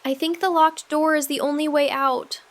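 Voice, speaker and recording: A woman playing an anxious, skeptical sidekick sleuth in an audio drama, hesitant and questioning with deadpan humor. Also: high-pitched, moderate pace, lightly acted. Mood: deadpan worry.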